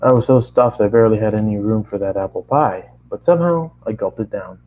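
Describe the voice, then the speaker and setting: Male amateur narrator, fairly deep and relaxed, conversational first-person storytelling with retakes. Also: brisk, poor audio. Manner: stumbles and re-reads lines. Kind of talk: first-person fan fiction reading, conversational.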